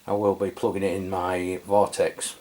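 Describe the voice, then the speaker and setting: Low-voiced man reviewing a radio. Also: moderate pace, a little hiss or hum. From England. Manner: relaxed and chatty, with frequent fillers and self-corrections. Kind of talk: casual product review walkthrough.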